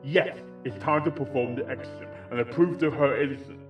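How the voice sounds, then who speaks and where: A man's voice: mid-range voice, fairly quick, echoey room, lo-fi sound, music in the background, pitched above the performer's own voice, natural voice. A man playing a determined male pony doctor and exorcist, commanding and dramatic, in a story reading. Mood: grim, commanding.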